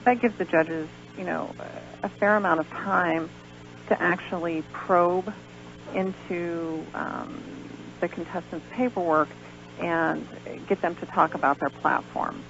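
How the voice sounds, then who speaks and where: A dull, lo-fi radio recording with some hum and noise carries an American woman's voice, gravelly with fry. She is a guest explaining things step by step, pausing and hesitating as she goes. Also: lowish voice, fairly bright tone. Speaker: guest, pageant organizer. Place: radio show.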